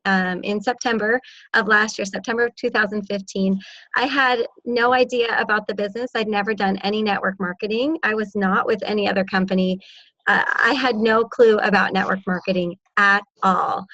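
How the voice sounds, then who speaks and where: A woman's voice: mid-range voice, fairly even intonation, brisk, a bit bright, no room sound. Upbeat woman host, muffled sound. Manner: upbeat, encouraging. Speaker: panel host. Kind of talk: casual presentation.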